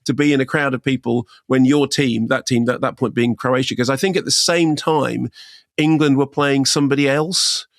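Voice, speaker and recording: A man's voice: medium pitch, fairly even intonation, moderate pace, dry recording, clean studio sound. A man in his sixties co-hosting a casual podcast chat, telling rambling stories and often correcting himself mid-sentence. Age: 60s. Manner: rambling, self-correcting storyteller. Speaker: co-host.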